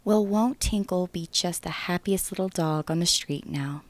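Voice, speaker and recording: A woman playing an eerily calm, sweetly teasing partner in a horror audio drama, sweet and coaxing. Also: fairly low pitch, moderate pace, dry recording, natural voice.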